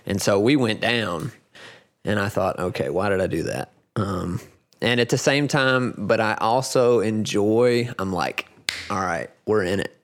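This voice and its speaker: A man in his forties chatting casually as a podcast guest, talking in halting, rambling stretches full of restarts. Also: on the low side. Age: 40s. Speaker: podcast guest, grappling competitor. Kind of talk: casual chat.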